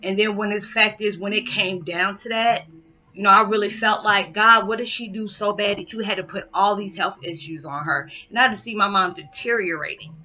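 A woman's voice: medium pitch, brisk. Candid woman speaking to a webcam. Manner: candid, emphatic, animated hand gestures. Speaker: vlogger. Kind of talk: personal testimony vlog. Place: small living room indoors. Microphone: webcam built-in mic. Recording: dull lo-fi webcam audio.

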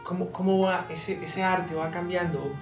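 Young man, TV host, halting questions, faint music underneath. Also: high-pitched, fairly even intonation. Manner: slow, halting questions with many restarts. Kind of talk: TV interview. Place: living room, indoors.

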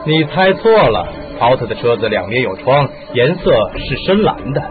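A man's voice: medium pitch, big pitch swings, normal speed, narrow, tinny audio, lightly acted. A man acting in a radio drama as a sceptical but reasonable police inspector with a questioning manner. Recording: band-limited audio with music.

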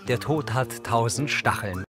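A man giving measured, neutral scripted narration of a crime series as an audio describer. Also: medium pitch, over music.

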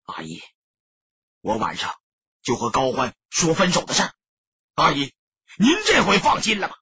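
A man voicing an earnest, troubled young man who speaks in a hushed, hesitant, gentle way. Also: a little high, huge pitch swings, moderate pace, husky, no room sound, dull audio, exaggerated voice. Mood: quiet, resigned.

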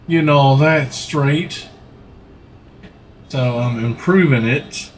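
A man's voice: medium pitch, extremely slow, slight background noise. A middle-aged man, chatting casually and rambling while he plays a farming game on a livestream from his home setup. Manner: easygoing, rambling, talks while playing. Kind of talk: casual gaming livestream commentary. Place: home room, seated in a gaming seat.

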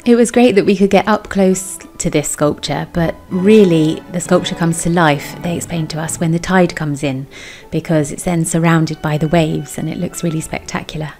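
A woman's voice: deep voice, fairly even intonation, normal speed, darkish tone. A woman in her thirties giving a chatty voice-over for her vlog. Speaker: vlogger. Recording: background music. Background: music.

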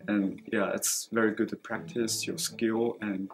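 A man's voice: fairly low pitch, flat delivery, unhurried, vocal fry, poor audio. A young man, a student speaking earnestly in a seated interview, with many fillers. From China. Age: late teens. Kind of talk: interview testimonial. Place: school library with bookshelves, indoors. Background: soft background music.

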